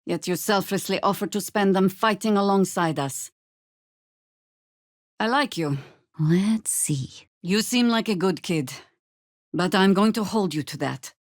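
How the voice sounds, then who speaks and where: Woman acting a slow, wry veteran druid heroine. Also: medium pitch, no room sound, lightly acted. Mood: wry, firm. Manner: wry, dry, measured and commanding. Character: seasoned half-elf druid woman, wry, stern veteran resistance fighter. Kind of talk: video game character dialogue, dramatic acting.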